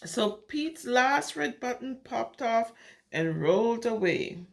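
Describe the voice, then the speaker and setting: A woman's voice: fairly high pitch, lively intonation, slow pace, vocal fry. A woman reading a picture book aloud to young children in an exclamatory, singsong way, often putting questions to the listeners. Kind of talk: animated interactive read-aloud for young children.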